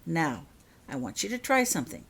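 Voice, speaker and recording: Woman voicing a brisk, kindly school healer. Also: sits low, sing-song, vocal fry, fairly bright tone, husky, full character voice. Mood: brisk, caring. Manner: matter-of-fact, instructing.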